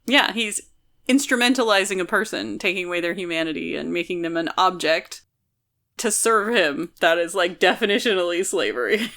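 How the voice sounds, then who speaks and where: A woman's voice: on the low side, lively intonation, normal speed, a bit bright. A woman co-hosting a podcast, talking through a novel in a casual, analytical way and explaining her reasoning at length. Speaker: podcast co-host discussing a novel. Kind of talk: casual analytical book discussion.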